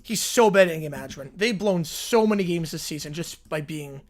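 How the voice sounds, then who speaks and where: Twenty-something guy from long island doing live football play by play, highish voice, chatty. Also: fairly quick. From Long Island, New York. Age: 20s. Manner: chatty, answers viewer questions between plays. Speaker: livestream host and play-by-play commentator. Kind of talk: casual live play-by-play with viewer chat.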